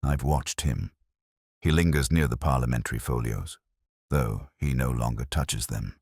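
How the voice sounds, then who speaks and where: A man voicing a brooding, sharp-minded Victorian gentleman detective in an audiobook, speaking in terse, deliberate, formal phrases. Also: a bass voice, husky, dry recording, studio quality, pitched below the performer's own voice, exaggerated voice. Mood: wry, reflective.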